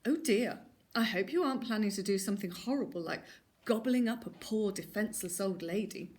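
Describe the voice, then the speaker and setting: A woman's voice: mid-range voice, a little fast, a little mellow, natural voice. A woman voicing a huge, strong old lady who speaks sweetly but suspiciously.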